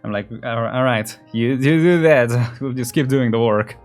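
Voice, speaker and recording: A man's voice: medium pitch, normal speed, faint music behind. Casual man doing game commentary. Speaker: gaming video creator. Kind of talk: casual let's play commentary.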